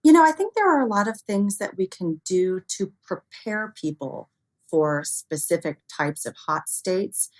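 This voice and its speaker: A woman's voice: mid-range voice, lively intonation, a little slow. A woman speaking as an expert interview guest, explaining things conversationally with everyday examples. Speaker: interview guest, expert on human error in cybersecurity.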